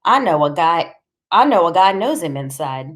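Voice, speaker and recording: A woman's voice: fairly low pitch, very animated, normal speed, fairly bright tone. A woman narrator voicing a bossy, menacing tough man in an audiobook story, curt and slangy. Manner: curt, streetwise, slangy, commanding.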